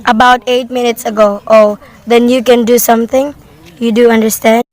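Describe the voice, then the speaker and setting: A woman's voice: on the high side, level tone, normal speed, a little mellow, close to an everyday voice. A woman playing an anxious, demanding invalid wife in an amateur radio play, fretful, insistent and wordy. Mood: anxious, explaining. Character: anxious, demanding invalid wife reporting an overheard murder plot.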